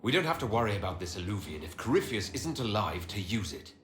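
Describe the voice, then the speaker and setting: An actor playing a resolute Inquisitor in a video game, speaking with firm, measured authority. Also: male voice, fairly low pitch, very animated, fairly bright tone, a little hiss or hum. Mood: commanding, resolute. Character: commanding male Inquisitor, resolute military leader. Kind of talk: dramatic video game acting. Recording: roomy echo, faint music behind.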